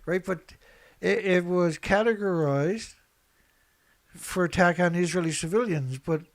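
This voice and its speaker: A man with a slightly high voice delivers opinionated news commentary. He speaks bluntly and colloquially, hammering points by repeating them. It sounds like an unscripted online show where he reads headlines and reacts. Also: a little slow. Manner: emphatic, repetitive, blunt, colloquial. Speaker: online commentator and activist host.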